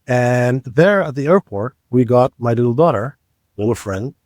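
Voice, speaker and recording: A man in his thirties from Israel with an Israeli accent, co-hosting a casual podcast and talking in a halting, joking way with fillers. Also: medium pitch, expressive, darkish tone, no echo, studio quality. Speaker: podcast co-host. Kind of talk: casual chat.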